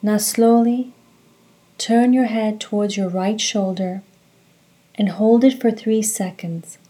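A woman guides a meditation in a soft-edged, mellow tone. She speaks very slowly and calmly, delivering each instruction in a measured way at a medium pitch. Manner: slow, calm, measured instructions. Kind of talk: guided meditation narration with recitation.

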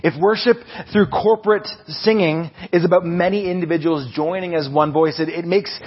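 Loud, slightly husky male preacher. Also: on the high side, normal speed, lo-fi sound. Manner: animated, self-deprecating, many restarts. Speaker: preacher and music leader. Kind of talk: conversational sermon.

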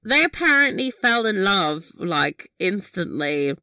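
A woman's voice: mid-range voice, big pitch swings, slow pace, sharp tone. A woman co-hosting a podcast, chatting casually about a TV episode, laughing often and swearing offhand. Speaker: podcast co-host. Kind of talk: casual recap chat.

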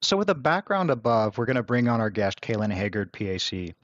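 A man's voice: on the low side, big pitch swings, fairly quick, no room sound, highs cut off. A man co-hosting a podcast, talking loosely and filling his sentences with "like". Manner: loose, filler-heavy, says like a lot. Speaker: podcast co-host. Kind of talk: casual podcast talk.

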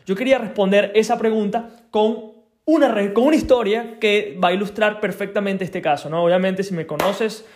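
A young man with a Venezuelan accent hosting a solo podcast, talking conversationally with frequent tag questions and pauses. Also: high voice, darkish tone, slight background noise. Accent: Venezuelan Spanish. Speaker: podcast host.

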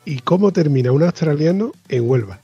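A man's voice: a little high, lively intonation, a little fast, warm tone, slightly rough, soft background music. A man hosting an interview, asking curious questions and explaining things in detail. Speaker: interview host. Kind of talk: podcast interview. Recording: slightly dull lo-fi sound.

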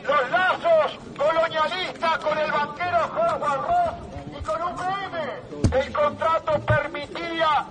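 A man's voice: extremely high pitch, slow pace, pushed and bright, faint music behind. A man giving a declamatory, emphatic protest speech in the street. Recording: distant outdoor event clip, crowd noise.

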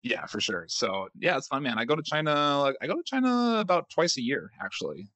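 A man hosting a podcast interview in casual chat, upbeat and quick to laugh, recording from a hotel room. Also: mid-range voice, very animated, pushed and bright, no room sound. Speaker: podcast host.

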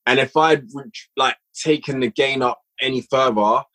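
A man guesting on a podcast, chatting casually with a relaxed, encouraging, filler-heavy manner. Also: medium pitch, normal speed. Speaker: podcast guest, audio drama maker. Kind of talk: casual chat.

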